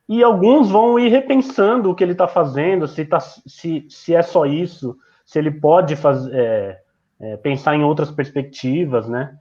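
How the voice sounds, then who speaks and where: A man with a slightly raised pitch talks reflectively, as a physical education teacher on a live online panel. He pauses and restarts as he works through his thoughts. The audio is dull and lo-fi, as on a video call. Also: darkish tone. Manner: reflective, hesitant, many fillers and restarts. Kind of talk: casual online panel discussion.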